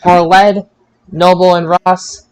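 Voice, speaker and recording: Higher-pitched man hosting a casual podcast. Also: level tone, slow pace, clean tone, low-quality recording. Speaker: podcast host. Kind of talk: casual podcast chat and sign-off.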